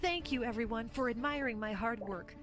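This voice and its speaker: A woman voicing a vain, ambitious, entitled young unicorn student in an animated fan audio drama. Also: a little high, fairly quick, loud music behind the voice, natural voice. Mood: smug. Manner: boastful, haughty.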